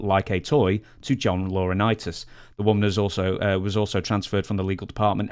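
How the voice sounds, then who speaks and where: A man hosting a podcast, talking casually and reading out news in a wry, wordy way with asides. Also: lowish voice. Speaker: podcast host.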